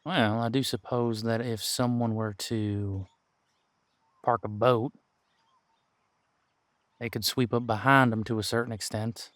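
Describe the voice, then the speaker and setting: A man playing a seasoned, wry detective who patiently mentors a rookie in an audio roleplay drama, musing aloud in unhurried, folksy phrasing. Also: medium pitch, steady tone, fairly bright tone, dry recording, lightly acted. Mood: thoughtful, questioning. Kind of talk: roleplay audio drama, one-sided conversation.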